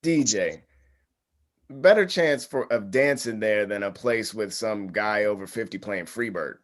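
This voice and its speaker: A man's voice: fairly low pitch, measured pace, natural voice. A man playing an easygoing, confident young man in a casual, conversational table read of a screenplay. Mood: easygoing, decisive.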